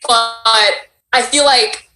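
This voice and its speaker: Woman, Cuban Miami accent, voice actress, expressive and chatty, bright tone, echoey room. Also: on the high side, extremely slow, soft background music. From Miami, United States. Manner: animated and expressive, laughs often. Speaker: voice actress and creator. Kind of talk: casual interview chat. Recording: roomy echo.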